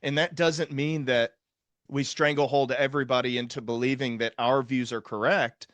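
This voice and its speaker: Male podcast host, mid pitch, earnest and emphatic, deliberate delivery, very dry recording. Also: steady tone, a bit bright, no echo, highs cut off. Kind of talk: earnest conversational podcast talk.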